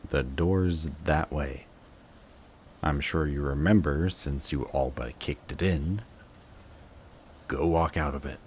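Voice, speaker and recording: Very deep, slow-talking bitter villain played by a man. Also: darkish tone. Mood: cold, dismissive. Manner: intense, sardonic, dramatic pauses, swearing. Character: bitter adult male villain, guarded, secretly devoted to rival. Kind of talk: emotional audio roleplay monologue to the listener. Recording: clearly audible background noise.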